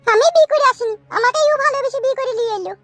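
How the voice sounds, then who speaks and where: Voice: extremely high pitch, very fast, a bit bright, soft background music. A performer voicing a defiant, quarrelsome young wife in a comic cartoon, whiny and argumentative. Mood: protesting.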